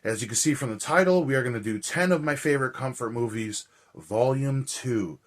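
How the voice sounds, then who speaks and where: A man with a hoarse, raspy voice, chatting casually and rambling with lots of fillers as a movie vlogger. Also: mid-range voice, brisk. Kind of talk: casual chat.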